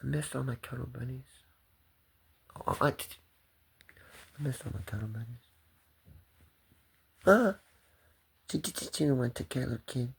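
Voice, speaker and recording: A man's voice: medium pitch, lively intonation, moderate pace, vocal fry, pauses often, a little hiss or hum. A man talking to the camera in a rambling, swearing monologue that jumps between topics.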